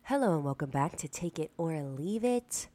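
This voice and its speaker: A woman with a low-pitched voice hosts a preaching-style podcast while a little sick. She talks earnestly and emphatically and keeps turning to the listener with rhetorical asides. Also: lively intonation, normal speed, loud music behind the voice. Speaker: podcast host.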